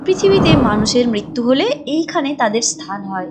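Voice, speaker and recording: High-pitched woman narrating a cartoon story. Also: very animated, normal speed, very noisy. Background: clear background music.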